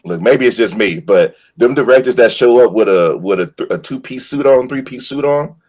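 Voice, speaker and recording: A husky-voiced man talks casually in a panel chat, his pitch swinging widely as he argues. He repeats and restarts phrases for emphasis and keeps his language colloquial and opinionated. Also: expressive, moderate pace, a little mellow.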